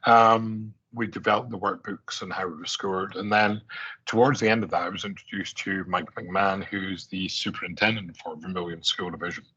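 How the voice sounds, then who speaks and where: A man's voice: sits low, fairly even intonation, moderate pace, pushed and bright, breathy edge, muffled recording. A middle-aged man from Scotland with an Aberdeen accent, giving a conference presentation in a conversational way, with many fillers and restarts. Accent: Scottish, Aberdeen. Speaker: conference speaker.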